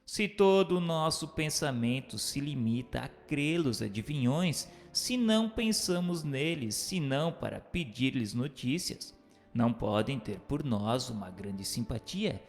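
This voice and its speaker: A man playing an earnest questioner, reading questions and notes formally and deliberately in a scripted radio drama. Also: mid-range voice, gravelly. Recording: long hall reverb, music bed.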